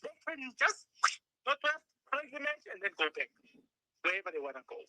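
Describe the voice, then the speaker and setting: A woman's voice: sits high, fairly quick, no echo. A woman co-hosting a true-crime chat, acting out quotes with sarcasm. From South Africa. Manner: animated, acts out quotes with sarcasm. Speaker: co-host.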